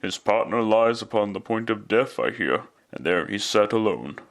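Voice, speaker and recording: A genial family man , played by an actor in an old-style radio play. His voice is low and gravelly, with lively swoops as he tells his wife the news conversationally. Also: normal speed. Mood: gossipy, reflective. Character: genial husband chatting to his wife by the fire. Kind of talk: classic radio drama acting.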